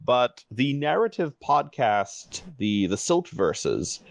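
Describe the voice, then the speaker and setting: A man's voice: mid-range voice, big pitch swings, slow pace, gravelly, no echo. A man in a casual podcast chat, giving enthusiastic, rambling recommendations. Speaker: podcast panelist.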